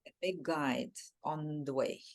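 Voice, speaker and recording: A woman from Egypt with an Egyptian Arabic accent, a native Arabic speaker, answering interview questions in a soft, reflective way and often repeating words as she searches for them. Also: low voice, takes it slowly, vocal fry. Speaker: guest.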